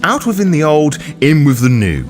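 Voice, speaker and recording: A man's voice: fairly high pitch, very animated, moderate pace, soft background music. A man delivering scripted video-essay narration in an emphatic, opinionated manner, as the host of a lore guide.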